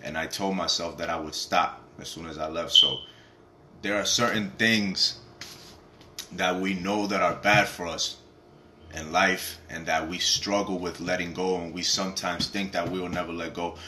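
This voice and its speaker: Young guy w a raspy low voice, rambly vlog talk, bit of noise behind. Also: fairly even intonation, normal speed, sharp tone. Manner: rambling, repeats "you feel me", swears. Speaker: vlogger. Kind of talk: casual motivational talk to camera.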